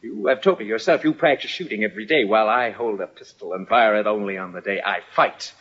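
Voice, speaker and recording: Sneering, hot-headed lieutenant. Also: male voice, fairly low pitch, fairly bright tone, breathy edge. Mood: defiant, cold. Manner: sneering, deliberate, chuckling condescension. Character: mocking, hot-headed army lieutenant, a jealous rival. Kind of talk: old-time radio drama acting. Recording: dull, band-limited old radio recording.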